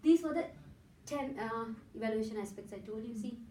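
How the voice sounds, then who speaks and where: A woman's voice: fairly high pitch, brisk, dark tone, a little hiss or hum. A woman from Sri Lanka with a Sri Lankan accent and Sinhala as her first language. She gives an academic conference presentation and often adds a checking "right?" as she explains her points step by step. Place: conference room in a hotel. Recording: echoey room from far off.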